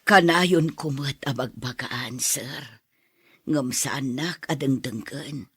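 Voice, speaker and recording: A caring, long-suffering mother in a radio drama. The voice is husky and fairly low for a woman, with a dry, close studio sound. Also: slow pace, a bit bright, dry recording, full character voice. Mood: anxious, grateful. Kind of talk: radio drama acting in dialogue.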